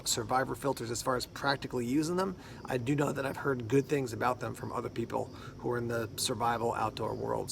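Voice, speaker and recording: A man talks through products like an unboxing host, informal and conversational. His mid-range voice has a noticeable gravelly creak. There is light noise behind him as he explains each item casually. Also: mid-range voice, a bit bright.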